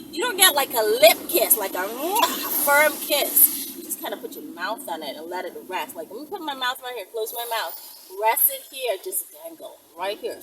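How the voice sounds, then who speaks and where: A woman chatting casually to viewers in a rambling vlog, with a brash, playful, foul-mouthed manner. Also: a little high. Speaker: video creator. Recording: distant mic with clear background noise.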